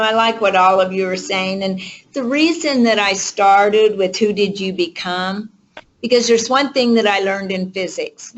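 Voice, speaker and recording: A woman's voice: mid-range voice, dull audio, a little hiss or hum. A woman giving motivational coaching to a group on a conference call, emphatic and checking in with rhetorical questions. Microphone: conference call line.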